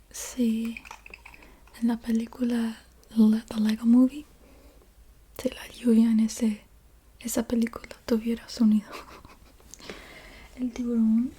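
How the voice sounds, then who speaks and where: A woman doing soft-spoken ASMR, casually rambling in a breathy whisper with a hesitant, playful manner and softly repeated words. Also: on the high side, little pitch movement, takes it slowly, pauses often, a little hiss or hum. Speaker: ASMR creator.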